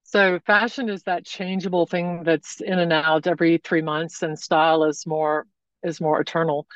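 A woman wardrobe consultant giving thoughtful, measured answers as a podcast guest. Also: low-pitched, little pitch movement, no echo, dull audio. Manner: thoughtful, measured explanations with pauses.

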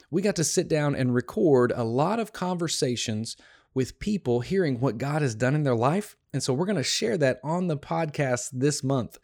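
A slightly hoarse, tired man hosting a devotional podcast, teaching a Bible passage in an earnest, encouraging way with emphatic repetition. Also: medium pitch, a little mellow. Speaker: podcast host.

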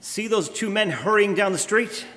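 An actor playing a serious, urgent gentleman detective in a live radio drama. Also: high-pitched, moderate pace. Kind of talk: live old-time radio crime drama acting. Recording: noisy live echoey hall.

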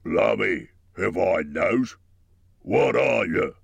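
A man voicing a big, slow-witted male troll who talks in a coarse, slurred drawl of rough slang. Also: sits low, animated, dry recording, full character voice. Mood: gruff, puzzled.

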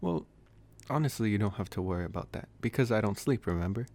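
Low-voiced, intimate young male vampire, played by a man. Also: expressive, quick pace. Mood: teasing, reassuring. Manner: soft, intimate, hesitant phrasing with sighs and chuckles. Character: possessive, teasing young male college vampire, gently reassuring. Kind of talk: ASMR boyfriend roleplay.

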